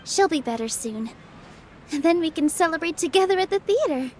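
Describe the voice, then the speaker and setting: A woman playing a gentle, modest young theater dancer in a video game, with a polite, friendly manner. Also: very high-pitched, animated, fairly quick, slight background noise. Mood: concerned, hopeful. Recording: game dialogue over clear background music. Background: music.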